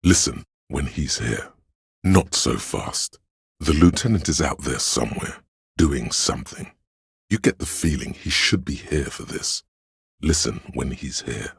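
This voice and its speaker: A man playing a solemn, brotherly inner voice of police instinct in a video game, narrating in a measured, brooding, literary way with a gravelly, husky tone. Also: a bass voice, animated, no echo, studio quality, full character voice. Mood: brooding, hushed. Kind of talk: scripted second-person game narration.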